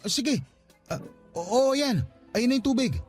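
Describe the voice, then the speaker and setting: A man's voice: very high-pitched, lively intonation, a little slow, a little mellow, breathy edge, full character voice. An earnest, anxious young father. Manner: earnest, conversational, questioning. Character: earnest, anxious young father proposing to his estranged partner. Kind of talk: Tagalog radio drama acting. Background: music bed.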